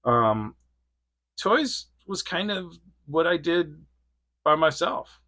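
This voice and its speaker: A man's voice: a little high, very animated, takes it slowly, bright, forceful tone, no room sound, studio quality. A man in his forties reviewing an action figure in a casual, rambling way, laughing often between thoughts. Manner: rambling, giggly, lots of fillers. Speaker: toy reviewer.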